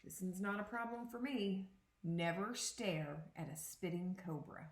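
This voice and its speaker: A woman reading a children's picture book aloud as a second-grade teacher, with deliberate, explanatory phrasing. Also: fairly low pitch, a little hiss or hum. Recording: echoey room.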